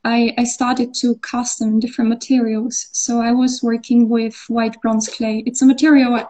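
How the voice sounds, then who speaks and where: A woman's voice: a little high, little pitch movement, moderate pace, mellow. A woman from Austria, an artist, talking in a casual interview with lots of fillers and restarts. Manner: hesitant, many fillers and restarts. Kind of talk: conversational interview.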